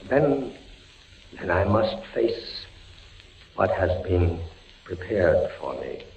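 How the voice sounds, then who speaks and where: An actor playing a tormented, frightened captive soldier in a 1940s radio suspense drama, halting and stammering, repeating his words. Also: deep voice, very animated, creaky, full character voice. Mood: despairing. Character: tormented, frightened male soldier imprisoned by the Inquisition. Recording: muffled, noisy 1940s radio recording.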